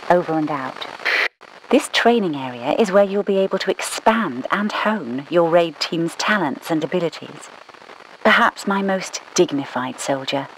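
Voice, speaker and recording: A clipped British spymaster. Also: female voice, fairly low pitch, a little hiss or hum, poor audio. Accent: British English. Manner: clipped, dry, commanding, scolding. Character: brisk British woman spymaster giving orders. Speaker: mission controller. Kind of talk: game voice lines.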